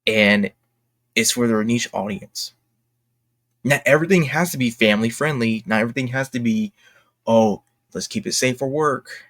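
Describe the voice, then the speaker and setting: A man hosting an online commentary video, speaking off the cuff from notes in a halting, self-correcting way. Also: mid-range voice, a bit bright, dry recording, clean studio sound. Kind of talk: unscripted opinion commentary from notes.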